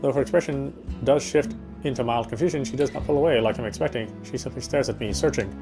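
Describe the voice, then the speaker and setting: A man's voice: mid-range voice, quick pace, lightly acted. An actor playing a tender, self-deprecating young man in a visual novel, introspective and earnest, with guitar music behind him. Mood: tender, reflective. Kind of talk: first-person visual novel narration and dialogue.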